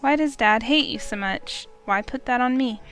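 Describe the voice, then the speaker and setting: A woman's voice: on the high side, moderate pace, fairly bright tone, soft background music. A woman narrating a visual novel in first person, emotive and urgent.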